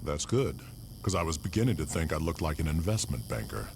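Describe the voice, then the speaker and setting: Game villain: hot-tempered gang boss, bottomless bass, wide swings in pitch, menacing swagger. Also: male voice, big pitch swings, brisk, full character voice. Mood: cocky. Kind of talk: video game cutscene acting. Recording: loud noise behind the voice.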